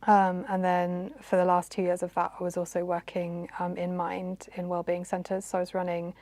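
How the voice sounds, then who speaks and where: A woman's voice: fairly low pitch, completely flat delivery, normal speed, darkish tone, no echo. A woman, an assistant psychologist, casually describing her path with some hesitation. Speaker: assistant psychologist, former master's student. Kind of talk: recorded career testimonial.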